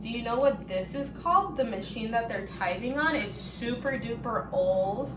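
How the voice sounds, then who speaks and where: A woman reading a children's story aloud, expressive and deliberate, stopping to prompt young listeners with questions. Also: a little high. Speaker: storybook reader leading children. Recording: roomy echo, faint background noise.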